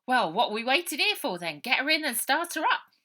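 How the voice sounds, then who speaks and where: Bossy, cheerful working-class gang girl, bright forceful voice, brisk commands, performed by a woman reading a storybook. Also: high voice, sing-song, pitched above the performer's own voice, full character voice. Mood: bossy, urgent.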